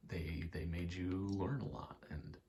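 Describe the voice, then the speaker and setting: A young man giving a soft-spoken, halting, reflective ASMR ramble, telling personal stories in a hushed voice close to the mic. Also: deep voice, steady tone, slight background noise. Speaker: ASMR creator.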